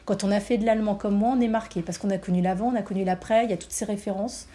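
A woman, a guest, telling personal film memories in an animated, casual way with frequent fillers. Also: mid-range voice, racing. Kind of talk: casual storytelling about a film.

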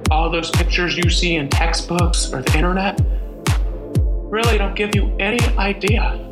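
A man acting in a radio play as a lonely, weary survivor of a disaster, musing aloud in a halting, conversational monologue. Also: high voice, fairly even intonation, echoey room, loud music behind the voice, natural voice. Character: lonely, weary, reflective male survivor wandering after disaster. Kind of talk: dramatic monologue, spoken like an audio diary.

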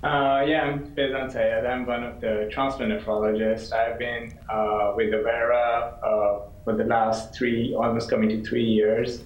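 A man's voice: mid-range voice, little pitch movement, measured pace, highs cut off. A middle-aged man from Pakistan, a transplant physician, explaining loosely over a video call. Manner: loose, explanatory, frequent fillers. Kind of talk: answering interview questions. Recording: roomy video call sound.

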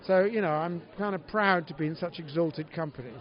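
A man's voice: on the high side, a little fast. A man, an author, speaking in a wry, self-deprecating way at an awards dinner. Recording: dull audio, faint crowd.

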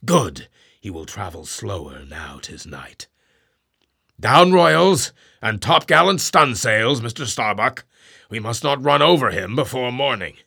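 A man voicing a grim, imperious old sea captain who swings from booming orders to hushed brooding. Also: animated, a little slow, gravelly, no echo, studio quality, heavily acted. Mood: commanding. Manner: stern, booming commands then hushed brooding.

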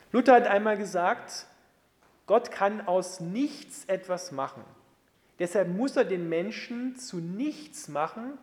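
Man preaching in a reverberant hall. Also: a little high. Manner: emphatic, rhetorical delivery with swings from loud to hushed. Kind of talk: sermon.

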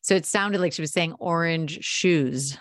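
A woman's voice: sits low, unhurried, dry recording, studio quality. A woman from the United States, a podcast guest, telling stories in a casual interview and acting out quoted dialogue. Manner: lively storyteller, acts out quoted dialogue.

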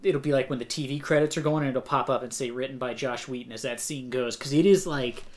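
A man's voice: medium pitch, fast talker. A man co-hosting a podcast, chatting casually and animatedly, swearing and mocking as he talks. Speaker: podcast co-host. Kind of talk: casual chat.

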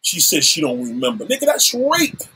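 A man's voice: on the high side, wildly swooping pitch, moderate pace, sharp tone, slightly rough. A man in his seventies ranting on a livestream, combative and profane, issuing threats and calling out rivals. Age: 70s.

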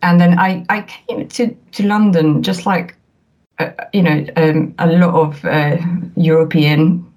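A woman's voice: deep voice, fairly even intonation, measured pace, darkish tone. A woman in her forties from northern Italy with an Italian accent, chatting as an interview guest, hesitant with many fillers and restarts. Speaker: photographer guest. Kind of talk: casual interview chat.

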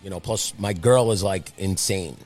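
A man's voice: low voice, moderate pace, a little mellow, soft background music. A man raised speaking Italian, chatting casually in a loose, profane, rambling way. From Brazil. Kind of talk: casual chat.

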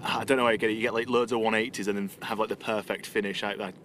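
A man's voice: lowish voice, steady tone. Twenties guy rambling through a story, lots of ums, car noise behind. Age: 20s. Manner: rambling, halting anecdotes with fillers. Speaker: podcast co-host, teacher. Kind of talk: casual chat. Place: inside a car. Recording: noisy car interior.

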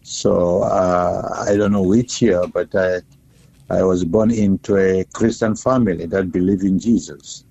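Slow, gravelly South Sudanese man. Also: sits low, steady tone, mellow, dry recording. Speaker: bishop, interview guest. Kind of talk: phone interview. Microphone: telephone. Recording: dull, muffled phone line.

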